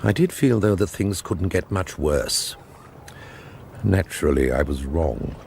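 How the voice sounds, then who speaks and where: A man's voice: deep voice, lively intonation, unhurried, gravelly, darkish tone, slight background noise, full character voice. An actor playing an older, down-on-his-luck former teacher in a radio drama, hesitant and dryly ironic. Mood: wry. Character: down-on-his-luck former teacher, wry, indignant, awkward man. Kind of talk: radio drama acting and narration.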